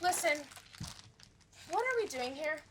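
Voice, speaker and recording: A woman's voice: high-pitched, big pitch swings, measured pace, background noise. A young woman in a school stage play, playing an idealistic actress who lectures at length in a theatrical manner. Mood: wry.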